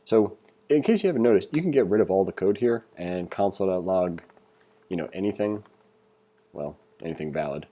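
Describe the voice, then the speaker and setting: A man's voice: low-pitched, lively intonation, a little fast, warm tone. A man giving a casual screencast tutorial, reading along and thinking aloud in an informal, conversational way as an instructor.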